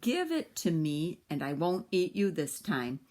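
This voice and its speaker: A woman voicing a boastful, hungry male tiger in a children's story, with slow, drawn-out threatening lines. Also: fairly low pitch, sing-song, mellow. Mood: menacing.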